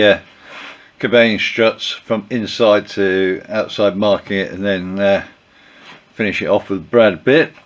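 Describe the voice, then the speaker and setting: An older man with a croaky voice from a cold, narrating his build in a home workshop with many pauses and fillers. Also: on the low side. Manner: relaxed, hesitant with many fillers. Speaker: aircraft builder.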